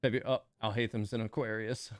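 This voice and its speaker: A man's voice: lowish voice, level tone, a little fast, dry recording, faint music behind. Casual male game streamer, dry close sound. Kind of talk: casual live stream commentary.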